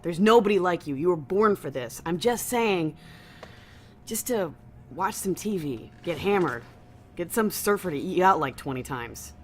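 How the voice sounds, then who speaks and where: A woman's voice: lowish voice, animated, moderate pace, slight background noise, natural voice. A woman playing a loyal, foul-mouthed security deputy in a science fiction audio drama, speaking casually and colloquially, a little hesitant with her boss. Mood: tentative, pleading.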